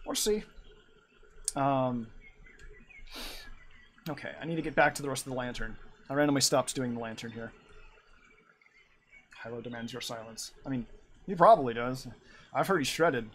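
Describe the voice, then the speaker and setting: A man's voice: fairly high pitch, slight background noise. A young man in his twenties chatting and rambling on a live art stream as he draws, laughing often. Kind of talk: casual chat while drawing.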